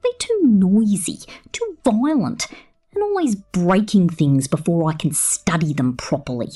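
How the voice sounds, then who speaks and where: Woman doing a creepy possessive robot mechanic girl, slow whispery asmr, mellow and teasing, voice swooping around, faint music. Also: lowish voice, sing-song. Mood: doting, controlling. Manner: slow, teasing, cooing, intimate. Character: possessive, sinister robot mechanic, sweetly menacing captor. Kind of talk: ASMR roleplay, partly whispered.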